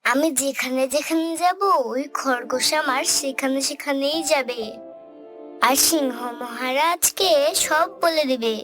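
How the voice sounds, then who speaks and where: A clever mother goat from a children's cartoon, acted by a woman, calm and deliberate. The voice is very high and slow, with soft music underneath. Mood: sly, composed. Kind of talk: children's cartoon story dialogue.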